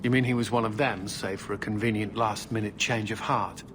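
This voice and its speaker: A man's voice: fairly low pitch, fairly even intonation, fairly quick, lightly acted. A man playing a stern, authoritative knight captain in a fantasy game, formal, skeptical and commanding. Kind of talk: fantasy video game acting. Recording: clear ambient background noise.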